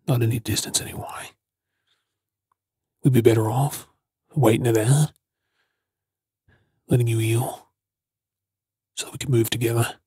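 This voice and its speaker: An Australian man playing a gruff but caring zombie apocalypse survivor in a breathy, often whispered ASMR role-play. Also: medium pitch, mellow, no echo, studio quality.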